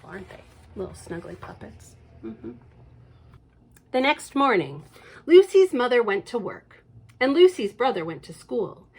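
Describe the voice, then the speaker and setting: Role: children's librarian. Style: storytime read-aloud. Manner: expressive, chatty asides, lively lilting voice, a little high. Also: female voice, creaky, husky.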